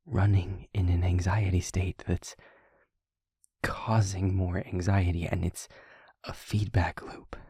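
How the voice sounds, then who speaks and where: Gentle male vampire, played by a man, low and slow, soothing a partner in an intimate audio roleplay. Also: dry recording, studio quality, exaggerated voice. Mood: quiet, explanatory. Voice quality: breathy, husky, near-whispering. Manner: halting, deliberate, reassuring.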